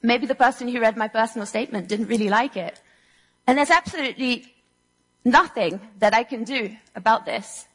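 A woman's voice: fairly high pitch, moderate pace, husky, long reverb tail, low-quality recording. A young woman from Switzerland giving a prepared talk to an audience in a room, telling personal stories with earnest delivery and a touch of dry humor. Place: lecture room before an audience.

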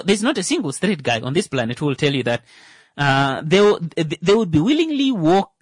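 A man's voice: fairly high pitch, dry recording. A man hosting a radio talk show, joking and rambling with many fillers. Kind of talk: comedic monologue.

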